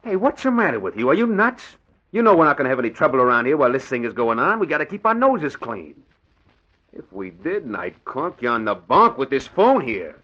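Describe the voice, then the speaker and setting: A man playing an excitable, bossy gangster henchman with slangy tough talk, in 1940s radio crime drama style. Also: medium pitch, brisk. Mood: blustering anger. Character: excitable small-time male gangster henchman, bossy and suspicious. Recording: dull old 1940s radio recording.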